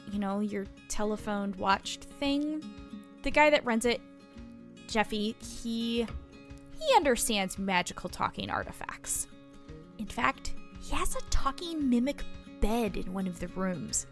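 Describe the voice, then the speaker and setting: Woman playing a high-voiced, chatty small-town reporter. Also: animated, unhurried, faint music behind. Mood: chatty, helpful. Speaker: game master.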